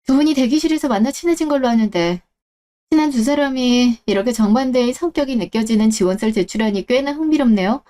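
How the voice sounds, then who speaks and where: Woman doing young woman CEO interviewer, high voice, cool, composed, pointed, radio drama. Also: quick pace, warm tone, natural voice. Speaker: job interviewer. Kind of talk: Korean story radio drama.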